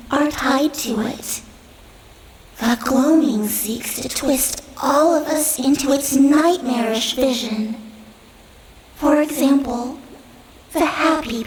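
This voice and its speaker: A woman playing a serene, grave elven guardian in a fantasy audio drama, speaking in a measured, solemn way. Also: sits high, a little mellow. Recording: roomy echo, audible background noise.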